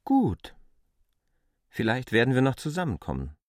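An inquisitive outsider, a land surveyor, in a radio play. His middling voice swings very widely in pitch and is recorded dry. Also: wildly swooping pitch, moderate pace, dry recording. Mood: probing. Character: questioning newcomer land surveyor, male outsider. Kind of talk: radio drama dialogue.

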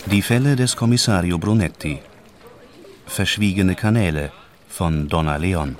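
A man's voice: low-pitched, a little slow, a crowd in the background. A man announcing radio drama titles and credits from a script.

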